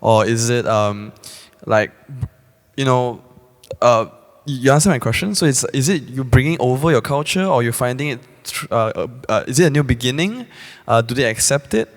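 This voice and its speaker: A man asks questions from the audience in an informal, apologetic style. His medium-pitched voice wanders from point to point, with the long reverb of a hall around it. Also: normal speed. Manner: rambling, apologetic. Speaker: audience member asking questions. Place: indoor public hall.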